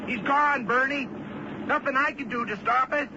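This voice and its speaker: A man's voice: very high-pitched, normal speed. An actor in an old-time radio drama playing an agitated, defensive boatman giving a false report. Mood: agitated, evasive. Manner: worked up, defensive. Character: agitated man lying over a boat radio. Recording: muffled old radio drama, boat noise behind.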